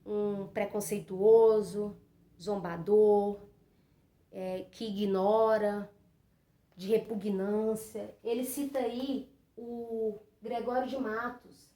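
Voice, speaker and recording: A woman's voice: medium pitch, flat delivery, takes it slowly, mellow. A woman from Brazil presenting and explaining a book chapter in an earnest, informal way, often saying "então" and "né". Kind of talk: informal spoken book commentary. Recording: echoey room, faint noise.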